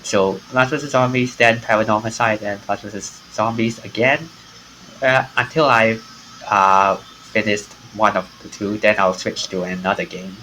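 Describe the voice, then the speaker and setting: A man giving casual live stream commentary in a halting, rambling way. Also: fairly low pitch, bright, forceful tone, a little hiss or hum, low-quality recording. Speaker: game streamer.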